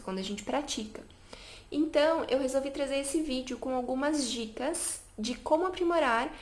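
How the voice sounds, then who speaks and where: A woman chatting casually to camera about learning languages, with many fillers and an upbeat, talkative manner. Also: on the high side. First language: Portuguese. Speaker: language vlogger.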